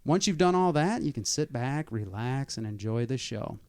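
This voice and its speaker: Male podcast host: energetic, chatty, casual, recorded close and dry in a small studio. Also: medium pitch, normal speed, clear, resonant tone, no echo. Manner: energetic, showman-like greeting.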